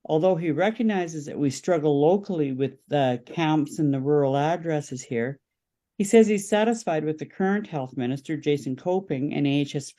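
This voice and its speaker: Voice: medium pitch, dark tone. A podcast guest, speaking as a political campaign representative and explaining positions in an interview.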